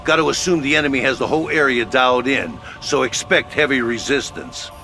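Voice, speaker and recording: A man's voice: medium pitch, lively intonation, brisk, noisy background, lightly acted. A man playing a brash, plain-spoken HQ officer in a video game, briefing in a blunt, cocky, colloquial way. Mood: blunt, urgent. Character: brash, plain-spoken male military HQ officer, gung-ho and slangy. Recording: background music under the voice.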